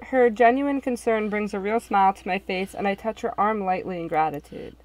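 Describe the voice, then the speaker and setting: A woman narrates a visual novel in expressive storytelling style. Her voice sits at a medium pitch. The recording is close and dry, with no room sound. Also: fairly quick, dry recording, poor audio.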